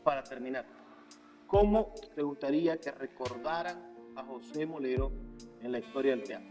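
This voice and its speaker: Interviewer: male, mid-range voice, posing questions with clear instrumental music behind him. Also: mid-range voice, moderate pace.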